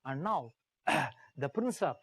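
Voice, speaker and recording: A man's voice: on the high side, lively intonation, slow pace, breathy edge, dry recording, clean studio sound. A man with an Indian accent gives a history lecture as a teacher, telling it like a story, emphatically repeating key phrases and putting questions to the class. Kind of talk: live classroom lecture told as dramatized story.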